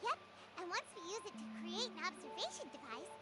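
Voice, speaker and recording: A woman's voice: very high voice, very animated, brisk. A woman voicing a tiny, cheerful, childlike fairy companion in a video game, chirpy in manner. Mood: explaining. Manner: refers to self by name, chirpy. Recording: loud game music behind the voice. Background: game music.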